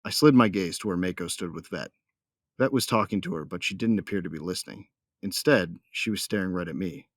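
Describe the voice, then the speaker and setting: Brooding, lovelorn sci-fi hunter, played by a man, deep voice, inward-looking audio drama narration, close and dry. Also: fairly quick, dry recording, natural voice. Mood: wistful, resolute. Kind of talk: first-person audio drama narration and dialogue.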